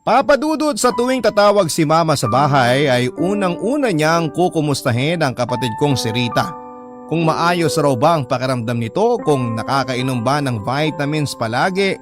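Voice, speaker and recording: Man as plaintive, higher-pitched Filipino drama narrator. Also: close to an everyday voice. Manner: confiding, plaintive. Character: aggrieved young letter writer recalling family slights. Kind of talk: first-person radio drama narration.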